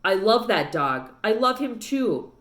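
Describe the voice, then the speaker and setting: A woman's voice: a little high, big pitch swings, a little slow, fairly bright tone. A woman voicing a weary, grieving father who is a preacher, firm but tender, in a children's book read-aloud with roomy echo. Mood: sorrowful.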